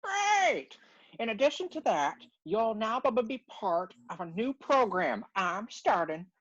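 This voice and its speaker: A woman's voice: a little high, big pitch swings, unhurried. A woman playing an overeager bird boss who stutters on b words. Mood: eager. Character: stammering, overeager bird boss pitching a scheme. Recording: dull, muffled online-call audio.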